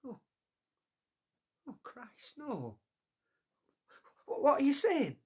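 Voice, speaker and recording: A woman playing an anxious young male miner in a radio play, blunt and wry, with a husky voice and a Northern English accent. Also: high-pitched, wildly swooping pitch, very slow, darkish tone, full character voice. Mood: fearful, sardonic. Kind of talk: radio drama acting.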